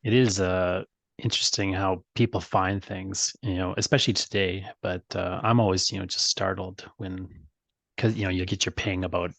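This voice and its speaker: A man hosting a casual interview, talking in a halting way and circling around his questions. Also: low-pitched, steady tone, vocal fry, a bit bright, no echo. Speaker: podcast host, interviewer.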